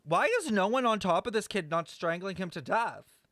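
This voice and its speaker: Animated, blunt woman game streamer. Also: mid-range voice, normal speed, dry recording, studio quality. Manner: blunt, profane, exaggerated outrage. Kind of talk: casual live game reaction commentary.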